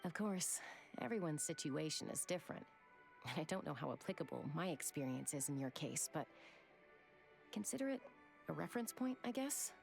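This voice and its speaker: A young female game adventurer with a lowish voice. She speaks in a reflective, reassuring way over faint music. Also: expressive, moderate pace, vocal fry. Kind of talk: video game voice acting.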